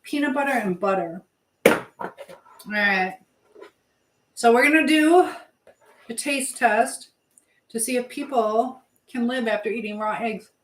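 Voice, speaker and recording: High-voiced woman chatting in an echoey room. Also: a little slow, pauses often. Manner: chatty, talking to herself while busy with tasks. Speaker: live stream host. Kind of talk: casual live chat while cooking, reading out comments. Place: indoors in a kitchen. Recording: echoey room with clear background noise.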